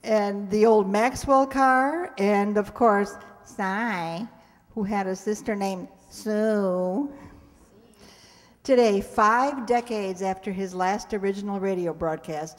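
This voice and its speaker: An older woman speaks unhurriedly into a stage microphone, presenting to an audience in a chatty way. The hall rings around her voice, which sits at a middling pitch. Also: slow pace. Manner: chatty, asks the audience questions. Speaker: presenter introducing a community radio-play show. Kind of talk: live introduction to an audience. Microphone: microphone on a stand, close. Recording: echoing hall sound.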